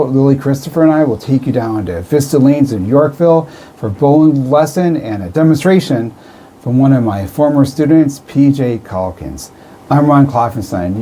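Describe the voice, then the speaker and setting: A man's voice: medium pitch, dark tone, slight background noise. A man hosting a radio show, interviewing guests in a casual conversational style.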